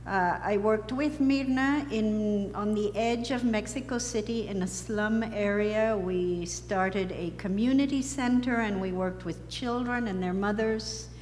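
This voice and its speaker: A woman's voice: medium pitch, a little mellow. A middle-aged woman from Costa Rica telling her personal story as a missionary to a congregation. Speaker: missionary guest. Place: large church sanctuary, seated on stage. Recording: reverberant church hall, faint noise.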